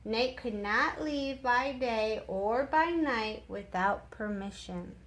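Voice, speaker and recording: A woman's voice: a little high, slow, fairly bright tone. A woman who reads children's stories as a teacher, in an instructive way with casual explanatory asides. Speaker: children's story reader and teacher. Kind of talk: read-aloud storytime with casual asides.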